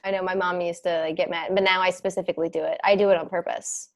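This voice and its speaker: A woman's voice: on the low side, level tone, quick pace. A young woman hosting a podcast in casual chat, irreverent and joking, swearing freely. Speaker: podcast host.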